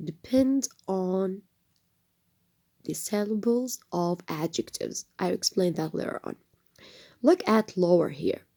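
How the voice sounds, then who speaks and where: A woman teaching an English grammar lesson, explaining patiently with frequent fillers and trailing into a soft whisper at phrase ends. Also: medium pitch. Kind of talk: recorded textbook lesson.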